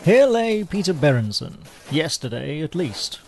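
An actor playing an urbane, wry British spy in a spoof radio drama, with a droll, understated British delivery. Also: male voice, fairly high pitch, very animated, measured pace, a little mellow, exaggerated voice. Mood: wry, composed. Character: urbane, wry male British spy, unflappable gentleman agent.

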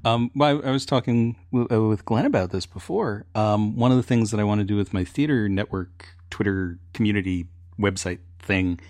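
Male podcast panelist, lower register, close dry sound, animated storyteller who mimics reactions in relaxed group conversation. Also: moderate pace, hardly any pauses, dry recording. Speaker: podcast panelist and radio drama writer. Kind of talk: casual panel discussion.

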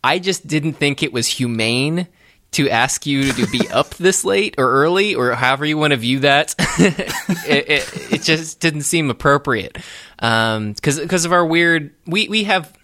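A man speaks as a podcast co-host in casual conversation. His voice is bright and crisp-edged, and his delivery is polite and halting. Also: on the high side, lively intonation. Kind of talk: casual chat.

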